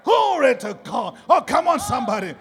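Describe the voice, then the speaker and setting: Male preacher, very high voice, emphatic and repetitive delivery, big hall reverb, impassioned sermon. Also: big pitch swings, normal speed. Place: large reverberant indoor worship space. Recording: hall reverb with music behind.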